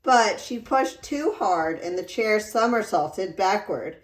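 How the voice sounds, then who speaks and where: A woman narrates a children's story at a slow, careful pace. Her delivery is expressive and deliberate, made for following along. The recording has a noticeable room echo. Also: medium pitch. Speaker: read-aloud narrator. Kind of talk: expressive storybook read-aloud. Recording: roomy echo.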